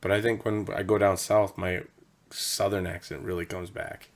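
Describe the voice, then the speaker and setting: A man's voice: low voice, level tone, moderate pace. A man from Indiana co-hosting a podcast, chatting casually in short, upbeat bursts. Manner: upbeat, asks questions and answers in short bursts. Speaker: podcast co-host. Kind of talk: casual chat.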